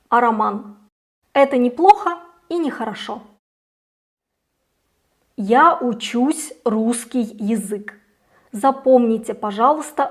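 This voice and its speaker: A young woman teaching a scripted grammar lesson, saying each example slowly and clearly. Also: fairly high pitch. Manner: slow, careful, clearly articulated examples. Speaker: Russian language teacher.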